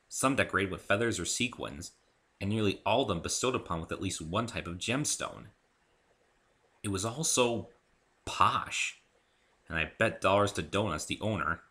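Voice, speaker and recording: Bright-voiced man reading a story. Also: low voice. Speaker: author reading own story. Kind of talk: expressive scripted narration, amateur dramatic story reading.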